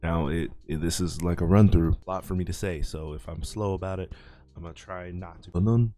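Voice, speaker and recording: A man's voice: sits low, fairly even intonation, brisk, dark tone. A man, a YouTuber and aspiring voice actor, rambling casually while playing a game. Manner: rambling, chatty, self-deprecating. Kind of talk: casual gameplay commentary.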